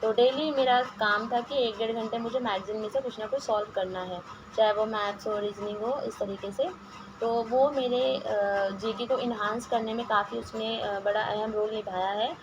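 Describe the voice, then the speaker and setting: A hoarse woman with a sore throat, teaching exam-prep questions and answers with frequent hesitations and restarts, mixing English words into her talk. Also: fairly high pitch. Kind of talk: reading quiz questions and answers, casual explanation. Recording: lo-fi home recording with noise.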